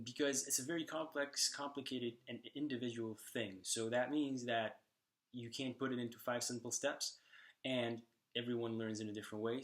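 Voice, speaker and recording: A man's voice: medium pitch, a bit bright. Slovak man vlogging with many ums. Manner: thoughtful, lots of ums and restarts. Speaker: language-learning vlogger. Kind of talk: casual talk to camera.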